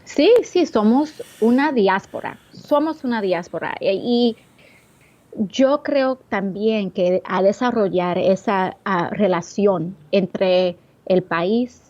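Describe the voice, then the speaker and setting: A young woman from the Bronx speaks as an interview guest, feeling her way through each sentence in her second language. She restarts phrases and pauses on fillers. Her voice sits at an ordinary pitch and comes through slightly muffled. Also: a little mellow. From the Bronx, New York. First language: English. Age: young adult. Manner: hesitant, searching for words, frequent restarts. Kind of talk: interview answers.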